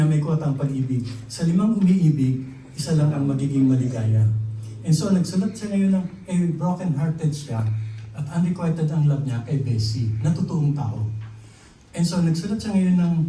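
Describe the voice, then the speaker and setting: A Filipino man explaining a story unscripted to an audience, deliberately, repeating key phrases as he goes. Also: fairly high pitch, animated, warm tone, soft background music. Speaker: novelist at a press conference. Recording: roomy, distant mic, faint noise.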